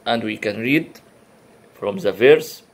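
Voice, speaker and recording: A man whose first language is Arabic gives a religious lecture in careful, non-native English, pausing often with fillers and restarting words. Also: fairly low pitch, lively intonation. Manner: halting, many uh fillers and restarts. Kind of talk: comparative religion talk.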